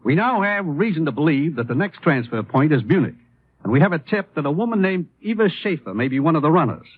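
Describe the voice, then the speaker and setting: An actor playing an earnest, authoritative government commissioner in 1950s radio style. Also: male voice, fairly high pitch, very animated, a little fast, husky, dry recording. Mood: grave, urgent. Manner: grave, authoritative. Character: earnest, businesslike male government commissioner, the agent's boss. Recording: thin, phone-like old radio recording.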